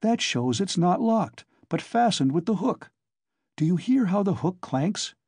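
A man voicing a cheerful, quick-witted young man in an audiobook, ringing and insistent. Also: sits high, lively intonation, darkish tone, husky, no room sound, clean studio sound, voice pushed up, full character voice. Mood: urgent, insistent.

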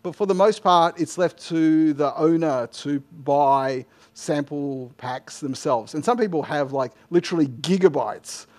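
A middle-aged man speaks at a conference in a slightly high voice. He gives informal technical answers, often stopping and restarting his phrases as he goes. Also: measured pace, hardly any pauses, a little mellow. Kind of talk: informal technical Q&A after a talk. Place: indoor lecture room, at a lectern. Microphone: headset microphone.

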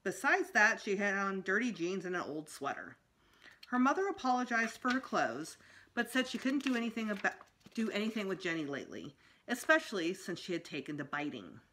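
Middle-aged female storytime reader, bright tone, lively swings in pitch. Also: brisk. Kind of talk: expressive read-aloud of a children's book. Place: small indoor room at home.

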